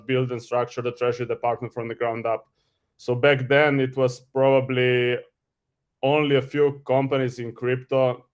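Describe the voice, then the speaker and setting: A man's voice: medium pitch, clear, resonant tone. A man from Slovenia with a Slovenian accent, a guest explaining his professional background informally on an online call. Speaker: guest, crypto treasury specialist. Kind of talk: informal professional self-introduction.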